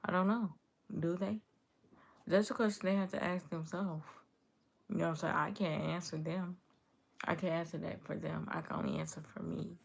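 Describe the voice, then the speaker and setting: A woman's voice: fairly low pitch, fairly even intonation, moderate pace, gravelly, low-quality recording. A woman from Chicago hosting a livestream, chatting easily with viewers and answering their comments aloud. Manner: easygoing, chatty, reads chat comments aloud and answers them. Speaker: livestream host. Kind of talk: casual livestream chat with viewers.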